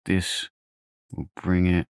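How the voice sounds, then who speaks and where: A man's voice: deep voice, little pitch movement, slow, clean tone, no echo, studio quality. A man giving casual let's play commentary, muttering and thinking aloud as he goes. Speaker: gamer.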